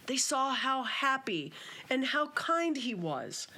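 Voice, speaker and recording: Unhurried woman reading a storybook. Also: high-pitched, very animated, sharp tone. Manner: simple, measured read-aloud delivery. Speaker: children's author, guest.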